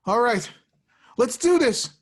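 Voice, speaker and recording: A woman playing an earnest, easily flustered young male rock bassist in a table read. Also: expressive, slow, breathy edge, full character voice. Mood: determined. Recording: noisy home mic with clicks.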